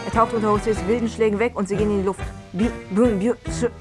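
A woman's voice: medium pitch, steady tone, fast talker, music in the background. A woman giving dramatised radio narration, punctuated with sound-effect words.

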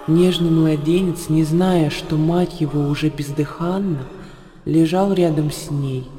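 An actor playing a solemn, mournful witness to famine in a radio drama. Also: male voice, fairly high pitch, steady tone, a little slow, dark tone, soft background music, natural voice. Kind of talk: literary radio drama narration. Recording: long hall reverb.

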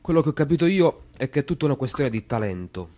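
An actor playing a wry, reflective storyteller from a poor southern old town, delivering a measured theatrical monologue. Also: male voice, mid-range voice, very animated, dark tone, close to an everyday voice.

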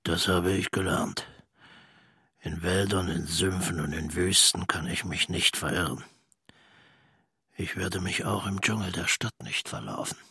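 An actor playing a brooding, lecherous former German military man, often breathy and whispering, in a radio drama monologue. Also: deep voice, fairly even intonation, pushed and bright, no room sound, studio quality, full character voice. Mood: grim resolve. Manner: slow, menacing, contemptuous.

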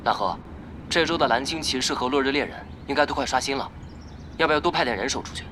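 An actor playing a brisk, dutiful guild officer reporting to his leader in a Chinese radio drama. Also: mid-range voice, noisy background, lightly acted. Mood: businesslike.

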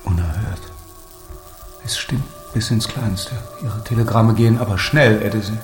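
An actor playing a courteous but sceptical English lord in a radio drama. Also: male voice, on the low side, normal speed, natural voice. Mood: amazed. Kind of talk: German radio drama acting. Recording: roomy echo, faint music.

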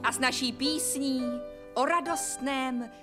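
A woman's voice: high-pitched, close to an everyday voice. A woman playing a grand cabaret chanteuse in a radio drama, declaiming in a gushing, theatrical way. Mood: grandly solemn. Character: grand female cabaret chanteuse, showily patriotic. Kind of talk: theatrical cabaret announcing and singing. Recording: loud music behind.

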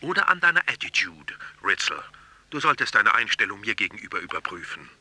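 A man's voice: lowish voice, very animated, brisk, bright, forceful tone, a little hiss or hum, exaggerated voice. An actor playing an evasive, self-satisfied father of a grown daughter, rambling and lecturing in a radio play. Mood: defensive, lecturing.

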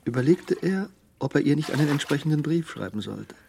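An actor narrating a crime radio drama in a measured, brooding manner. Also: male voice, fairly high pitch, lively intonation, gravelly, a little mellow, slight background noise. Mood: reflective.

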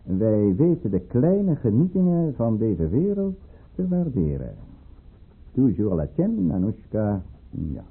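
Casting: scheming tsarist governor and lover, suave and menacing, highly animated pitch, husky muffled sound of an old tinny radio play. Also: male voice, exaggerated voice. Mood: suave, mocking. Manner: suave, formal, coldly commanding, deliberate. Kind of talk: Dutch radio drama acting.

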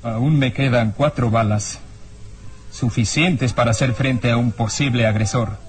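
An actor playing a hard-nosed, uneasy police inspector in a radio serial, with a theatrical, menacing way of questioning. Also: male voice, mid-range voice, steady tone, unhurried, a little hiss or hum, close to an everyday voice. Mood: tense, defiant. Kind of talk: old radio serial acting. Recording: radio drama with music underneath.

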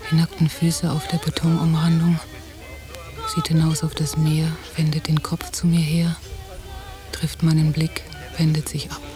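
An actress playing an anxious, jealous, watchful woman in a German radio drama, in a quiet, introspective, measured delivery. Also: low-pitched, completely flat delivery. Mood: brooding, inward. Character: anxious, jealous young-to-middle-aged woman on holiday, brooding, watchful. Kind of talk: German radio drama, intimate interior monologue and dialogue. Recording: 1990 radio drama from cassette, music behind.

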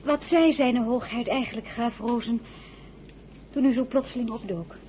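A woman's voice: on the high side, moderate pace, warm tone, slight background noise, natural voice, poor audio. An actress playing an anxious, tender royal lady, a general's wife, in a Dutch audio drama. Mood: anxious, questioning.

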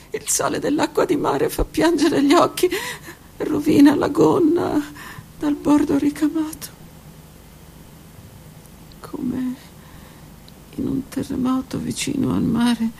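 Gravelly woman performing an intense monologue. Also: a little high, slight background noise. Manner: intense, dramatic delivery swinging between loud outbursts and whispers. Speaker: actress performing a one-woman stage monologue. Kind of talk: theatrical monologue broadcast on radio.

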